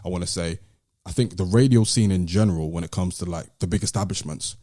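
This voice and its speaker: Deep, mellow British man. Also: fast talker, no room sound, clean studio sound. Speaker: podcast host. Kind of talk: casual discussion.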